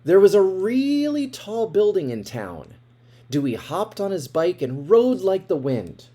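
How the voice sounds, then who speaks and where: Animated male storybook reader, slightly husky. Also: high voice, unhurried. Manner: expressive, dramatic emphasis with asides about the pictures. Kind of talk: animated read-aloud of a children's picture book.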